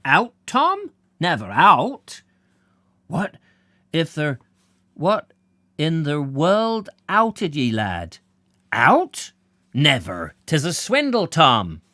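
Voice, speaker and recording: A man voicing an excitable old rustic village man with a broad country dialect, roaring out his words. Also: medium pitch, slow pace, dry recording, studio quality, voice pushed up. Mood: indignant.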